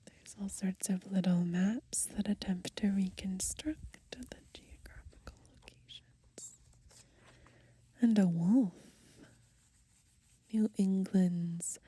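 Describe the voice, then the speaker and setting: Breathy whispering young woman, slow and soft. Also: medium pitch. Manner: gentle, unhurried, softly murmured. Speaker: ASMR video host. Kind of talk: soft-spoken whispered ASMR. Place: outdoors on grass in light wind.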